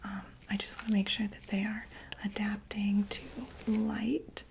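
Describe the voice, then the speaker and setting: A woman whispering softly in a breathy ASMR medical roleplay, gently and tentatively talking through each step as a doctor. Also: medium pitch, completely flat delivery, a little slow, a little hiss or hum. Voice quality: breathy, mostly unvoiced whisper.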